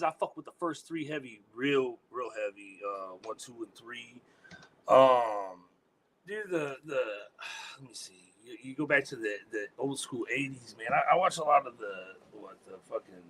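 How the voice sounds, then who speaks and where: A man's voice: expressive, normal speed, pushed and bright, a little hiss or hum, rough recording. A man being interviewed as a guest musician, talking in a laid-back way, swearing a lot and trailing off into fillers. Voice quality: deep voice. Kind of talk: casual interview chat.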